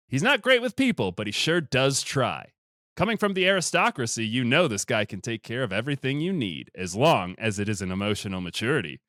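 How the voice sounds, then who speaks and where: Male podcast host, booming and playful; animated mock-announcer reads, close dry sound. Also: no echo. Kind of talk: casual chat and comic introductions.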